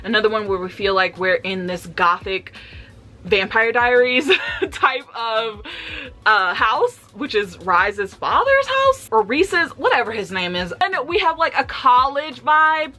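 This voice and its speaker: A woman chatting casually and candidly about books for a vlog, with an animated, slangy, giggly way of talking. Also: on the high side. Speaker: book reviewer vlogger.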